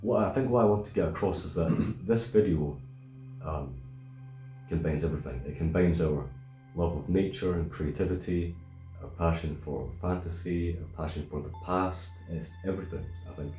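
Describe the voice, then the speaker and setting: A man around thirty with a very low, mellow voice hosts a reflective chat. He speaks haltingly and thoughtfully in a roomy, echoing space. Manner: halting, thoughtful, repeats words. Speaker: video host. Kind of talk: reflective casual discussion. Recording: roomy echo, faint music.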